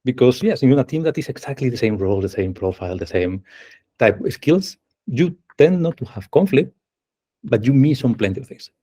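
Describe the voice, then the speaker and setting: A man's voice: mid-range voice, lively intonation, a little fast, warm tone, husky. A man from Spain with a Spanish accent, an engineering manager giving an online presentation over a video call and explaining step by step while putting questions to his audience.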